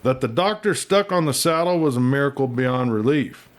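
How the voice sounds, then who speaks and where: A man narrates a western novel in a folksy, dramatic way. His voice sits at a medium pitch, and he tells it as a storyteller would, with plenty of expression. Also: expressive, normal speed. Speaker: audiobook reader and storyteller.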